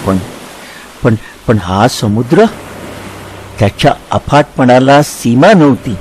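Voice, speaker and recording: A male narrator reads literary prose dramatically, with measured, expressive phrasing. His pitch is middling, and faint ambient noise sits behind the voice.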